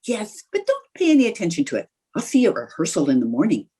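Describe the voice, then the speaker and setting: A woman's voice: mid-range voice, huge pitch swings, fast, dark tone, faint music behind. A woman playing a playful, scheming housewife in old-time radio comedy, with a coaxing, theatrical manner. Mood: sly, eager.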